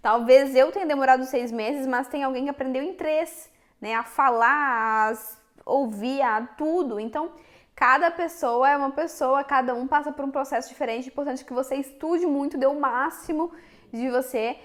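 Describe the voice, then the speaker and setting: A young Brazilian woman with a Minas Gerais accent and a high, bright-sounding voice. She talks frankly to her viewers in a relaxed vlog, addressing them directly the whole time. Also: normal speed. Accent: Minas Gerais Portuguese. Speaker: vlogger. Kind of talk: casual chat to camera.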